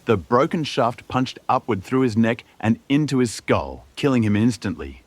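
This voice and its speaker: A man's voice: mid-range voice, animated, moderate pace, no room sound. A man reading scripted fantasy narration as a storyteller, dramatic and suspenseful.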